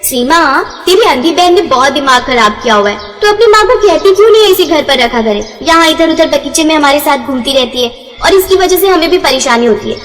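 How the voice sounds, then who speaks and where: A woman's voice: high-pitched, lively intonation, fairly quick, few pauses, a bit bright, big-room sound, soft background music, pitched above the performer's own voice. A woman voicing a mean, scornful village girl, harsh and scolding, in a moral story. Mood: angry.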